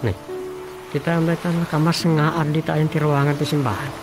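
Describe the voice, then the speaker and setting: A man's voice: on the high side, level tone, brisk, over music, natural voice. An actor with an Ilocano accent playing a chatty, curious townsman in a radio drama.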